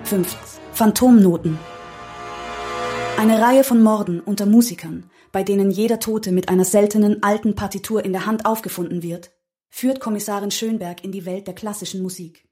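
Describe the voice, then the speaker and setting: Female AI narrator, mid-pitched, formal and theatrical scripted storytelling, light music bed. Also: fairly even intonation, normal speed, mellow. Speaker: AI voice assistant.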